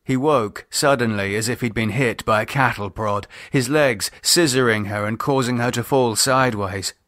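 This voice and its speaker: A man narrating a novel in a measured, deliberate audiobook style. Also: mid-range voice, a bit bright, no echo, studio quality. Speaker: author reading own novel. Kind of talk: scripted audiobook narration, dramatised.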